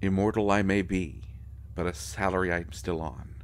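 A man playing an immortal vampire doctor in an intimate audio roleplay, a fussy, protective friend who scolds with fond, halting exasperation. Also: low voice, fairly even intonation, slight background noise, lightly acted. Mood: dry, defensive.

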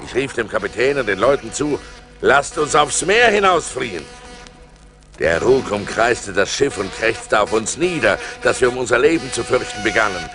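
An actor playing a seafarer who recounts his perilous adventures in a theatrical radio play style, moving between hushed and urgent. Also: medium pitch, sing-song, normal speed. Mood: urgent, fearful. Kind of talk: dramatic radio play narration. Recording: vinyl record transfer with music behind.

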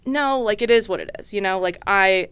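Bright, clear-voiced young woman chatting. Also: medium pitch, brisk, no echo. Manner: chatty, jokey and candid. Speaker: podcast guest. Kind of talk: casual chat. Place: small podcast studio with colored lights. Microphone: studio mic on boom arm, headphones.

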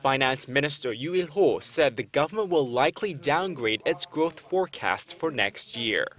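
A young man delivers a scripted news report. His voice sits at an ordinary middle pitch, and he reads as a reporter. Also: lively intonation, moderate pace, a bit bright. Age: young adult.

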